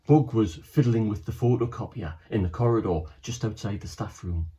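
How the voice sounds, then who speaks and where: A man reading his own children's book aloud, lively and animated, with asides to listeners. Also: lowish voice, normal speed, muffled recording.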